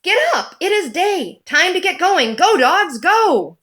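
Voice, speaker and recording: Slow, animated woman reading a picture book. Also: very high-pitched. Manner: animated, deliberate, simple storytelling delivery. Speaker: children's book reader. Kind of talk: picture book read-aloud.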